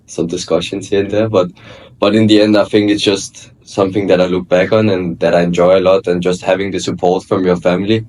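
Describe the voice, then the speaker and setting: Deep-voiced young Danish man. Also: fairly quick, slight background noise. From Denmark. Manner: relaxed, hesitant, many fillers and restarts. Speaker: guest, college soccer player. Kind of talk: casual interview chat.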